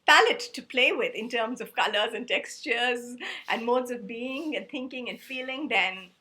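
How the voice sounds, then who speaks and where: A woman's voice: a little high, measured pace, sharp tone. A woman, a Tamil speaker, giving an earnest, conversational self-help talk. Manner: earnest, conversational, repetitive lists. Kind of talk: reflective motivational talk.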